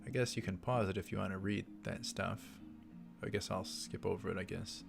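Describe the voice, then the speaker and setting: A man with a lowish voice hosts a game playthrough. He reads narration aloud and drops in casual comments. Soft music plays underneath. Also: fairly even intonation, a little fast, vocal fry. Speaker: let's play host. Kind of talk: reading a visual novel aloud with casual asides.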